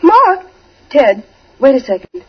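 On a muffled vintage radio recording, an uneasy, evasive wife. Her voice is high and breathy, with lively, swooping intonation in the old radio acting style. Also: takes it slowly, heavily acted. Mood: anxious, pleading. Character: uneasy, evasive young wife, anxious and pleading. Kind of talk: 1940s radio drama acting.

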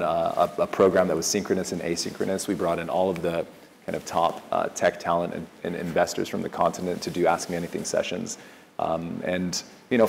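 Ghanaian man, Californian-sounding accent, deep and gravelly, eager panel talk, long hall reverb. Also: slight background noise. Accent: Californian-sounding American. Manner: fast, enthusiastic, many restarts. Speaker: local fund manager on a panel. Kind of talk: conference panel answer. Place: large conference hall stage. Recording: echoey hall with faint ambience.

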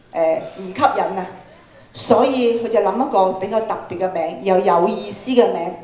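A woman's voice: mid-range voice, mellow. A woman with a Hong Kong Cantonese accent giving an interactive talk to schoolchildren, prompting them with frequent questions. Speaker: workshop speaker, radio programme producer. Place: large school auditorium, indoors. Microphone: handheld microphone, filmed from audience. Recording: echoey hall sound from PA.

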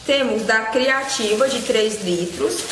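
A woman's voice: a little high, slow pace. Young woman, echoey noisy room. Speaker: vlogger and kitchenware seller. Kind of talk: casual product showcase to camera. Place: small indoor room with shelves. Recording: echoey room with loud background noise.

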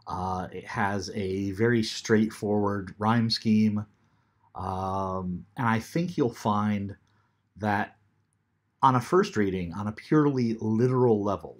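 Slow, low-voiced older male teacher. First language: English. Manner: unhurried, thoughtful, many ums, reads poetry aloud slowly. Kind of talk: recorded classroom lecture. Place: small room at home, seated in armchair.

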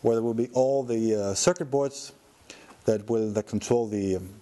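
A middle-aged man explaining a restoration project as its presenter, in a halting, thinking-aloud way. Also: on the low side, warm tone.

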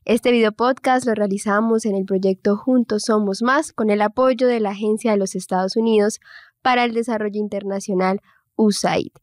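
Female podcast host, organized and welcoming, mid-pitched, dry studio mic. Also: steady tone, normal speed, darkish tone, no room sound. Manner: welcoming, structured presenter. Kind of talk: hosting a video podcast.

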